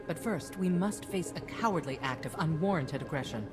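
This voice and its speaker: Game role: commanding female leader, low register, firm speechmaking, score underneath. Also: animated, normal speed, over music. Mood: resolute. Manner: stately, oratorical, firm. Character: commanding female head of state, resolute and stately. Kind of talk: scripted video game acting.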